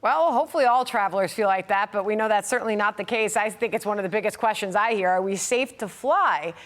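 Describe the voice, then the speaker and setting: A woman's voice: mid-range voice, quick pace. Conversational female news anchor. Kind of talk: live news interview, conversational.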